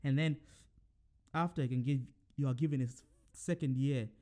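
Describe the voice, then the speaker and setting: A man's voice: mid-range voice, normal speed, mellow. A young man from Zimbabwe hosting his own podcast, talking casually to his listeners with lots of fillers and restarts. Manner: rambling, many fillers and self-corrections. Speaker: podcast host. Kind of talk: casual solo monologue.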